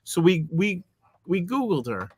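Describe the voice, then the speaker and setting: A man's voice: high-pitched, very animated, a little slow, a little mellow, husky, no room sound. A man co-hosting a podcast, rambling and hesitant, telling stories in comedic banter. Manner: rambling, hesitant, full of ums. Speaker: podcast co-host.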